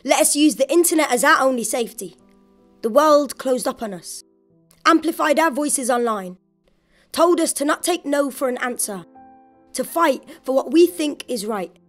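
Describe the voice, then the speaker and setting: A woman's voice: high-pitched, expressive, moderate pace, soft background music. A woman from Birmingham, a spoken word artist, reciting a poem in a rhythmic, declamatory voice-over. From Birmingham, England.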